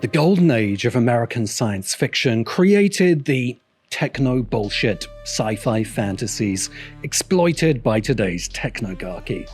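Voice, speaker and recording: A man's voice: medium pitch, expressive, unhurried, darkish tone. Man narrating a sardonic video essay over music. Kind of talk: scripted narration. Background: music behind the voice.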